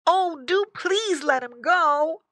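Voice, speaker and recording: A woman voicing a big young boy pig, excitable and exclaiming, in a storybook reading. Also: very high-pitched, takes it slowly, no room sound, pitched above the performer's own voice, full character voice. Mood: pleading.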